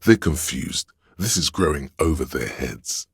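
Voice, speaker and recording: Game role: grandiose, scheming courtly inner voice, extremely deep and husky, archaic and deliberate delivery. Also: male voice, lively intonation, fairly bright tone, no echo, studio quality, exaggerated voice. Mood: knowing, measured. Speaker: video game voice actor. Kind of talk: theatrical second-person game narration.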